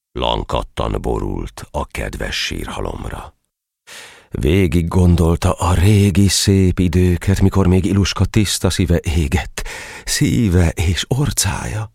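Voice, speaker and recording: A man's voice: a bass voice, creaky, no room sound, clean studio sound. A man narrating a verse tale in a solemn, theatrical storytelling style.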